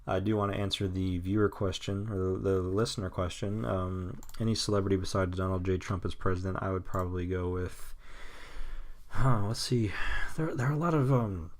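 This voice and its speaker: A young man in his mid-twenties with a very low voice talks alone into a podcast mic. He rambles and restarts often, trails off into nervous chuckles, then drops blunt, cynical remarks with casual swearing. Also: steady tone, a little mellow. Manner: halting, full of restarts and nervous laughs. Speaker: podcast host. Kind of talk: rambling solo podcast monologue.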